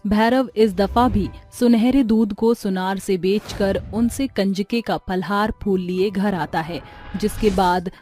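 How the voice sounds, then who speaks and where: A woman's voice: mid-range voice, takes it slowly, mellow, soft background music. A woman giving scripted storytelling narration for a moral tale.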